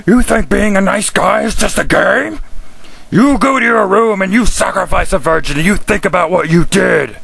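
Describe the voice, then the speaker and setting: Gravelly, high-voiced monster dad. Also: male voice, normal speed, fairly bright tone, heavily acted. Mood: indignant scolding. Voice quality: raspy, gravelly, pinched. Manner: stern parental scolding. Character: strict evil monster father scolding his son. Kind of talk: comic sketch.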